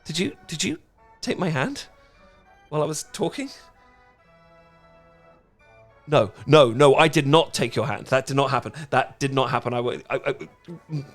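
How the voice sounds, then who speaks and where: A man's voice: mid-range voice, lively intonation, moderate pace. Guy playing a nervous dorky british boyfriend type, stammers and rambles, awkward and sweet, faint music behind. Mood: flustered. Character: cute, awkward, rambling dorky young man on a date. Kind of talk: romantic ASMR roleplay acting.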